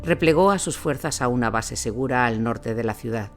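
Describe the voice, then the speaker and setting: A man's voice: medium pitch, normal speed, music in the background. A man reading scripted documentary narration as the narrator of a war account.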